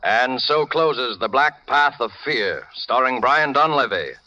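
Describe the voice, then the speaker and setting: A man's voice: medium pitch, animated, measured pace. An American man announcing a radio program in a formal, dramatic 1940s style. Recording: muffled old radio recording with music.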